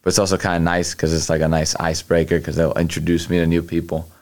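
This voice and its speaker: Very deep-voiced young man, halting. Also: monotone, a little mellow. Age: young adult. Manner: halting, many fillers and restarts. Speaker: guest, college athlete. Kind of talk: casual interview answers.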